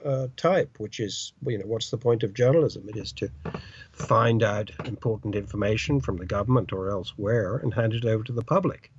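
Older British male journalist, halting delivery, lo-fi dull phone-in sound. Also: medium pitch, a little mellow. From Britain. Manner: halting, searching for words with many uh's. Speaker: journalist guest. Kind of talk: interview over the phone. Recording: dull lo-fi line, dry.

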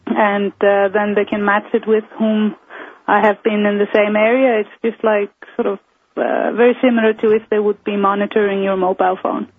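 A woman speaks as a phone-in guest, pausing often to search for her words. Her voice is slightly husky and mid-pitched, and it comes through a dry, tinny phone line. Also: level tone, no room sound. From Iceland. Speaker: guest, member of parliament. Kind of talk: phone-in interview.

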